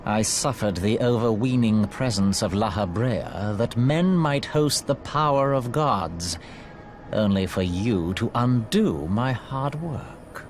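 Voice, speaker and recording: An actor playing an arrogant, sinister sorcerer villain in a video game, with pompous, theatrical, archaic diction. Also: male voice, on the low side, expressive, takes it slowly, slight background noise. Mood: smug, condescending. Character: arrogant, sinister male dark sorcerer villain, gloating and condescending.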